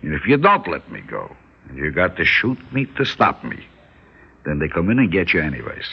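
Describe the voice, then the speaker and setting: A man playing a weary, stubborn old New shopkeeper, wry and deliberate, in an old radio drama. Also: rumbling low, huge pitch swings, creaky, slightly rough, full character voice. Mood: resolute. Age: older. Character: weary, stubborn old male delicatessen owner held hostage. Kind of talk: 1960s radio drama acting. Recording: dull old radio broadcast.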